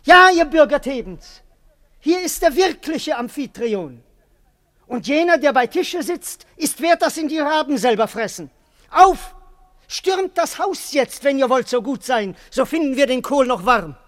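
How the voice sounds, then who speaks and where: Very high-pitched, grovelling comic servant. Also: male voice, animated, unhurried, a bit bright, heavily acted. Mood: rabble-rousing. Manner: exaggerated, comically theatrical. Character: comic, grovelling, hungry servant, cheeky and cowardly. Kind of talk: classical German verse radio drama.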